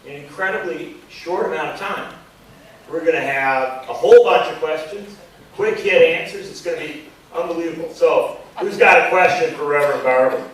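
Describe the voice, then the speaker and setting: A man's voice: on the high side, moderate pace, slight background noise. A man moderating a question session, hyping it up in a jokey, upbeat way. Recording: echoey room from far off.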